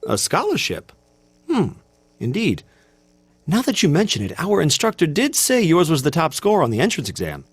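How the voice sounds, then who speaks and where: Proud nerdy teen cadet for a game, american, formal n awkward, slightly raspy, swingy pitch. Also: male voice, huge pitch swings, normal speed, no echo, exaggerated voice. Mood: grudging. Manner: formal, hesitant, self-conscious. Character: stiff, proud, bookish teenage male cadet. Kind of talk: video game voice acting.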